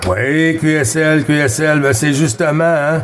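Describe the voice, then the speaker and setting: A man's voice: fairly high pitch, measured pace, background noise. A man with a Québécois French accent, chatting casually as a CB radio operator in a hesitant, courteous, repetitive way. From Quebec, Canada. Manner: hesitant, courteous, repeats himself. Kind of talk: casual radio contact chat.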